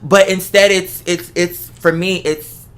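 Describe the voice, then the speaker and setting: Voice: mid-range voice, steady tone, measured pace, a bit bright. A host talking casually and from the heart, emphatic and given to repeating phrases for effect. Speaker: host of the show; artist who makes videos and music.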